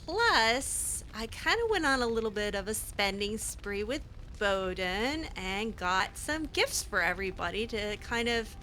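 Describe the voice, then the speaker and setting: A woman's voice: medium pitch, very animated, unhurried, sharp tone, slight background noise. A woman improvising in-character roleplay as she plays a game, chatty, hesitant and wry. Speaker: gaming channel host roleplaying a mage character.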